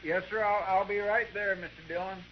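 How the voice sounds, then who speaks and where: A man's voice: high-pitched, fairly even intonation, brisk, close to an everyday voice. A man acting a folksy, deferential, hesitant deputy sidekick in a 1950s radio western. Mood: fussing, eager. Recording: muffled, noisy vintage radio recording.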